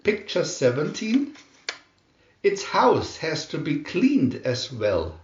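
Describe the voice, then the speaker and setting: A man narrating a scripted children's storybook in a deliberate, expressive storytelling manner. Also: a little high, echoey room, dull audio.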